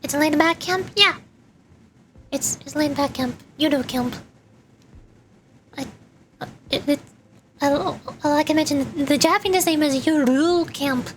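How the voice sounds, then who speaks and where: A woman's voice: sits high, a little slow, pauses often, slight background noise. A woman livestreamer chatting with viewers as she plays a game, explaining things and repeating herself for emphasis. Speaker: VTuber streamer. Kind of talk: livestream chat while playing a game.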